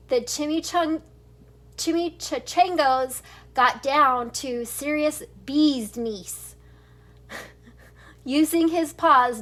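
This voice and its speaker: Older female storybook reader, high voice, unhurried, expressive, stumbles a little and chuckles. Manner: stumbles over words, chuckles as she reads. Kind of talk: expressive children's read-aloud narration.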